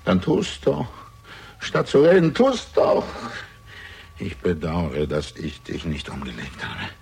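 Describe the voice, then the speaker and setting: A man's voice: low-pitched, huge pitch swings, takes it slowly, gravelly, darkish tone, breathy edge, full character voice. An actor playing an arrogant, gloating older murderer and proud father in a theatrical German radio crime drama, sneering and grandiose. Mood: defiant, bitter. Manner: theatrical, grandiose, sneering, swinging between murmur and outburst.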